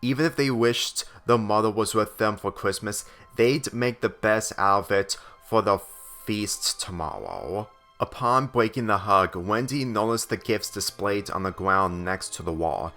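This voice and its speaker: A man reading a story aloud as a narrator, with a halting delivery that sometimes stumbles and repeats phrases. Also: lowish voice, lively intonation, sharp tone. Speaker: fan fiction reader. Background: background music.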